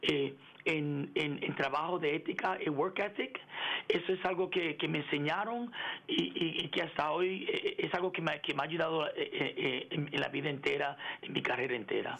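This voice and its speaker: A middle-aged man in a radio interview, speaking haltingly with many fillers and restarts and slipping into English words. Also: fairly high pitch, gravelly, fairly bright tone. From United States. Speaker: interview guest, engineering executive. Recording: radio broadcast.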